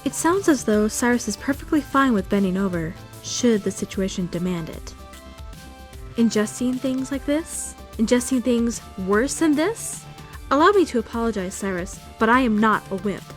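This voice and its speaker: A woman's voice: a little high, animated, moderate pace, close to an everyday voice. A woman playing a prim, bookish young student who is easily indignant, in a fan-dubbed visual novel with formal, theatrical delivery. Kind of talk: first-person visual novel fan dub narration and dialogue. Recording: music behind the voice.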